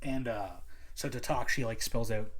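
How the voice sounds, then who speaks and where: A man's voice: medium pitch, fairly even intonation, moderate pace, fairly bright tone. A young man casually reviewing anime on his own, rambling, swearing a lot and making fun of himself. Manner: rambling, profane, self-mocking. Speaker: podcast host reviewing anime. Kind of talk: casual solo chat.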